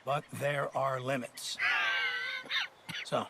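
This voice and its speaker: An actor playing a pompous, testy American army colonel in a game, halting and condescending as he talks. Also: medium pitch, little pitch movement, gravelly, raised voice, heavy background noise. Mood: indignant.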